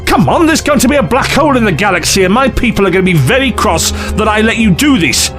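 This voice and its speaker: A man's voice: high-pitched, very animated, quick pace, fairly bright tone, faint music behind. A man playing a glib, eccentric alien time traveller in an audio drama, flippant, theatrical and condescending. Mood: brisk, cheeky.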